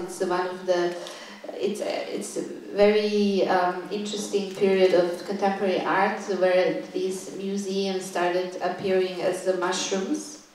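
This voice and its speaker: A woman gives a university talk, halting and thoughtful, stopping often to find her next words. Her voice is fairly flat and sits at an ordinary pitch. It comes from well back in a very echoey lecture room with a faint hum underneath. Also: far from the mic. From Serbia. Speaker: guest curator giving a talk. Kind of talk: lecture to a live audience. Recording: echoey room, voice picked up from afar.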